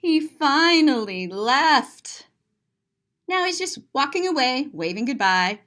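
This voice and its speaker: Female children's storybook reader, high voice with lively swoops, playful and expressive, describes pictures simply. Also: slow pace. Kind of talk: animated read-aloud to children, describing pictures.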